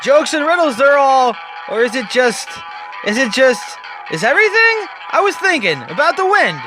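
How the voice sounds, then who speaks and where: A man's voice: extremely high pitch, very animated, moderate pace, over music, voice pushed up. A man voicing a drunk at a bar, rambling and repeating himself. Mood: drunken musing. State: acted drunkenness.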